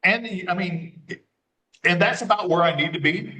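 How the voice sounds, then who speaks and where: A man with a slightly high voice talks casually as an interview guest, circling through his points with lots of restarts. He sounds set back from the mic in an echoey space. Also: moderate pace, a loud crowd. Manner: rambling, restarts phrases often. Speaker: relocation consultant guest. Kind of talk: casual conversation. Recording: roomy sound, mic at a distance.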